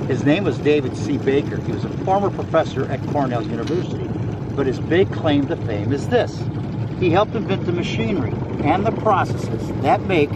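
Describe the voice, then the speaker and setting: A man guiding a boat tour with an American accent, giving playful, teasing commentary outdoors on a lake. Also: fairly high pitch. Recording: loud boat engine and water noise.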